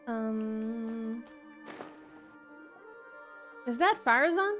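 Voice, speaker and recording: A woman live streamer reacting to a game in casual, relaxed, offhand chat. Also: a little high, extremely slow. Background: game music.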